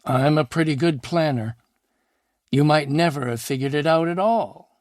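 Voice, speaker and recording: A man's voice: a little high, moderate pace, a little mellow, dry recording, studio quality, pitched above the performer's own voice, lightly acted. A man reading as a wry old letter-writer. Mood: wry, reflective. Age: older. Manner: reflective, wry, plainspoken. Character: old man writing a rueful confessional letter.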